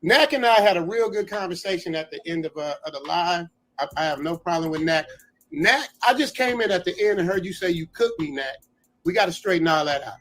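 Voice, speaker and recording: A man with a high voice speaks defensively and insistently as a caller on a live stream. He pushes back, stammering at times. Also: lively intonation, fairly quick, fairly bright tone.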